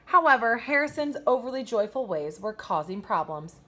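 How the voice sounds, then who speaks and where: Expressive woman teacher reading a storybook aloud. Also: a little high. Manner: emphatic, pauses to question young listeners. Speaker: kindergarten teacher reading a picture book aloud. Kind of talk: expressive children's storytime read-aloud with asides.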